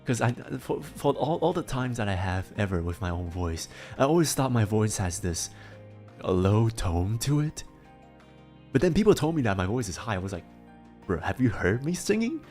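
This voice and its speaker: A man streaming a casual chat, his voice raspy and strained, rambling and swearing as he talks. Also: lowish voice, very animated, moderate pace, darkish tone, soft background music. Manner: rambling, swearing, laughing often.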